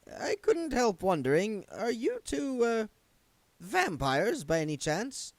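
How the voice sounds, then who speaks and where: A man voicing a polite, hesitant old gentleman in a book read aloud. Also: sits high, huge pitch swings, takes it slowly, creaky, no echo, pitched above the performer's own voice, exaggerated voice.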